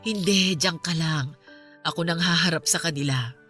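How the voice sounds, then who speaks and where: A woman playing a loyal, comforting best friend in a Filipino radio drama, reassuring and steady, with a Filipino accent. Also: on the low side, moderate pace, close to an everyday voice. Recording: music behind the voice. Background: music.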